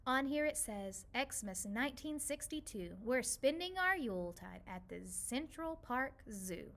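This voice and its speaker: A kindly, lonely old woman from a children's storybook, played by a woman reader. The delivery is gentle, soothing and softer, with a bright, clear edge. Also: sits high, lively intonation, measured pace, exaggerated voice. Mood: gentle, nostalgic. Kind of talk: children's storybook read-aloud.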